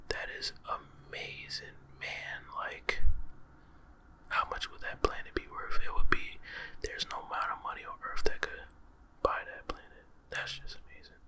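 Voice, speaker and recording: A man whispering space facts for an ASMR video, slangy and playful, reacting with amazement and repeating words. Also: extremely high pitch. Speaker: ASMR creator. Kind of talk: whispered ASMR fact telling.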